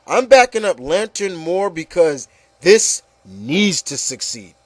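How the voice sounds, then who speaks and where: A man's voice: high-pitched, sing-song, takes it slowly, dry recording. A man hosting a casual, opinionated discussion, speaking emphatically and repeating phrases to drive points home. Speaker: podcast host.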